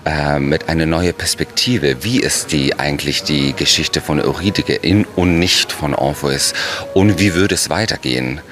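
A man's voice: a bass voice, normal speed, a crowd in the background. A man from Italy with an Italian accent, a choreographer and director explaining his work in an interview.

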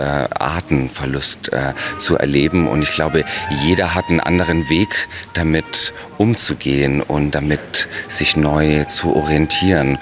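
A man's voice: rumbling low, a bit bright. A man from Italy with an Italian accent, a choreographer and director explaining his work in an interview.

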